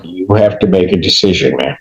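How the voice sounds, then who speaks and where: A man's voice: low-pitched, brisk, gravelly, a bit bright. A man speaking as a judge in court, putting procedural questions and rulings formally and insistently. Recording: dull, noisy courtroom microphone audio.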